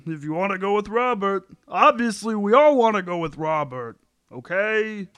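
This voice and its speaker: A man reads the part of an insecure sitcom husband in an amateur table read. His voice is high and bright, whiny and defensive with a stammer, in a dry recording. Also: lively intonation, moderate pace, clean tone, dry recording. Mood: eager, put out.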